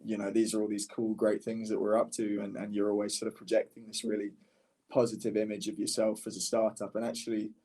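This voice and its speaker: A man's voice: on the low side, flat delivery, fast talker, mellow. A man speaking casually as a podcast guest and startup founder, candid and often rephrasing mid-sentence. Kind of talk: casual conversational podcast interview.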